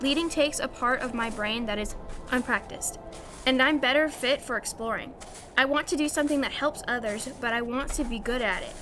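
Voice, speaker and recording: A woman's voice: on the high side, brisk, over music, close to an everyday voice. A woman playing an earnest, self-doubting young adventuring leader in an audio drama. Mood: earnest, frustrated. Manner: earnest, unsure.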